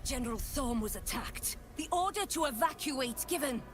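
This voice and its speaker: A woman's voice: high-pitched, animated, normal speed, over music. An actress playing a stern female officer who gives orders and threatens an intruder. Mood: menacing. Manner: commanding, threatening.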